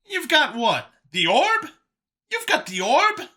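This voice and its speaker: A man voicing a folksy, excitable older fugitive who lives in a youthful body, rambling as he talks in an audiobook story. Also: high-pitched, moderate pace, pitched above the performer's own voice, full character voice. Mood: excited.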